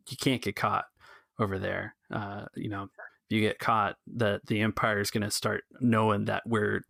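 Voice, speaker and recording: A man acting as game master on a tabletop podcast, with a creaky, fairly low voice. He is earnest and halting, recorded close and dry. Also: a bit bright, no room sound. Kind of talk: tabletop game roleplay narration.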